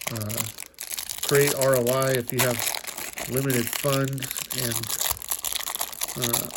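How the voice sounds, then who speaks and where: A man's voice: mid-range voice, a little hiss or hum. A congested, stuffy-sounding man opening card packs and chatting casually, musing aloud with lots of fillers. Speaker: card collecting channel host. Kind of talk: casual pack-opening commentary.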